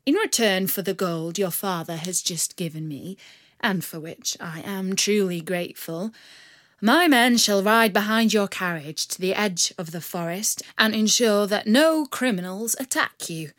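Woman doing a charming young outlaw chief, courtly, teasing, unhurried, storybook. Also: mid-range voice, fairly bright tone, dry recording, clean studio sound, natural voice.

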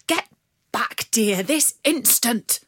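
A woman voicing an anxious older nobleman who pleads to protect his wife and daughter, slow and emphatic. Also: medium pitch, expressive, no room sound, clean studio sound. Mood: commanding.

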